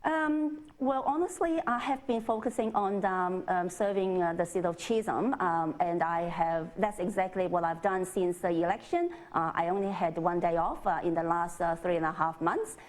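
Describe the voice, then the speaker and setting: A woman's voice: on the low side, moderate pace, hardly any pauses. A woman politician from Hong Kong giving hesitant, evasive answers full of fillers.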